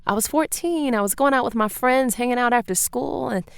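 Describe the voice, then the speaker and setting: An actress playing a principled small-town police chief and protective older sister in an audio drama, speaking firmly and earnestly. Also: female voice, a little high, brisk, vocal fry, clean tone, no room sound, studio quality. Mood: reminiscing. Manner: earnest, firm. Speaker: actor.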